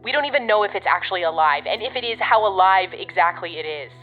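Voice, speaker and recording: A woman's voice: mid-range voice, expressive, quick pace, soft background music, close to an everyday voice. Pragmatic woman invigilator, played by an actress, audio drama. Curt, impatient, no-nonsense, slightly lo-fi sound. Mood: stern, urgent.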